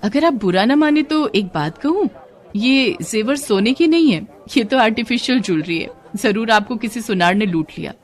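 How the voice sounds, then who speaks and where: A woman's voice: on the high side, lively intonation, moderate pace, lightly acted. A woman voicing a blunt, self-assured female goldsmith neighbour in a cartoon story.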